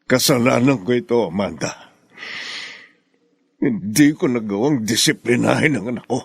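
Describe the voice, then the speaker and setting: A man's voice: medium pitch, lively intonation, moderate pace, gravelly, husky, no echo, clean studio sound. An actor playing an apologetic, weary father in a Filipino radio drama, speaking contritely.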